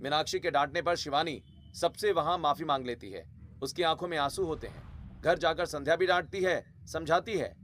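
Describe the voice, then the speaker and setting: A man's voice: fairly high pitch, fairly quick, a bit bright, soft background music. A man narrating a scripted dramatic family story in a storytelling style.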